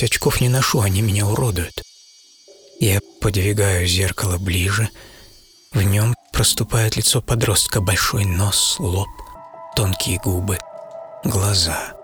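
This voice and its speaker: A man playing a lonely, misunderstood English teenage artist in an introspective first-person radio monologue, speaking in halting dramatic phrases. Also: on the low side, close to an everyday voice. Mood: brooding. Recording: music bed behind studio narration.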